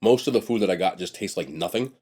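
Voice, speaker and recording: Young man, lowish voice, congested, sniffly, nasal, blunt chatty food reviewer. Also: quick pace, dark tone. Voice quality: nasal from a blocked nose. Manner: blunt and chatty, trails off and restarts. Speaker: food vlogger. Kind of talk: casual mukbang food review.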